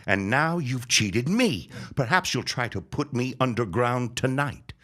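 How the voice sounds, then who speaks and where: A man's voice: medium pitch, lively intonation, measured pace, pushed and bright. A man playing a bitter, vengeful old dying reverend in a period audio drama, speaking in a grandiose, scornful way. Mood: accusing, menacing. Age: older. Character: bitter, vengeful, near-death old reverend and landowner father.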